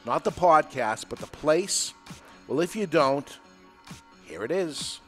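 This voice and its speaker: A male podcast host with a New England accent, recorded live in a podcast studio. He talks in a plain, energetic way and drives each point home. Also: fairly high pitch, takes it slowly, soft background music.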